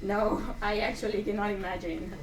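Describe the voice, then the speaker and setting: Concerned, incredulous visiting émigré, female performer, mid pitch, play reading, distant noisy sound. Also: fairly even intonation, moderate pace, natural voice. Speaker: student actor in a dramatic reading. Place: echoey room at a live performance. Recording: roomy, distant mic, clear noise.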